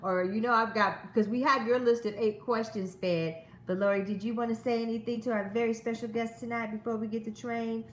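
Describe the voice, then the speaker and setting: A woman's voice: a little high, steady tone, a little fast, few pauses. A woman hosting a casual live stream in a chatty, effusive way. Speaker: show host. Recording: echoey room, faint noise.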